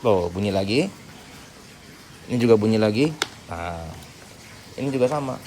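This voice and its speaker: A man's voice: fairly low pitch, lively intonation, moderate pace. A man giving a casual hands-on tutorial, informally talking through each step as he works. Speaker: tutorial host. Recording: clearly noisy background.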